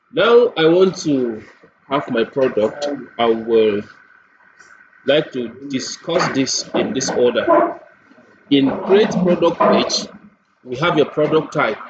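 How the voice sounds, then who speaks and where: A man giving an unscripted screen-share software tutorial, explaining step by step and repeating points for emphasis. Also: medium pitch. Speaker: software demo presenter. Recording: muffled lo-fi audio, clear background noise.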